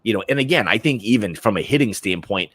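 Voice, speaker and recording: A man's voice: fairly low pitch, animated, quick pace, fairly bright tone, breathy edge, no echo. An American man hosting a casual sports prediction livestream, talking informally in slangy, opinionated fashion.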